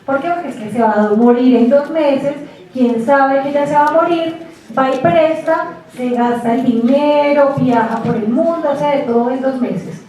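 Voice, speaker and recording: Lady teaching a class, high voice, chatty, far off in a big echoey room. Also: normal speed. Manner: lively, chatty, asks the class rhetorical questions. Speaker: university lecturer. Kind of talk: classroom lecture. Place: lecture room. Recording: echoey hall, distant mic.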